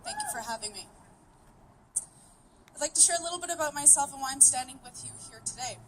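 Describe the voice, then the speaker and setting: A woman's voice: high-pitched, quick pace, a little hiss or hum. A woman, who first spoke Greek, gives an earnest, determined prepared speech at an outdoor rally. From Canada. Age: 30s. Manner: earnest, steady, determined delivery. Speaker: rally speaker. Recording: voice picked up from a distance.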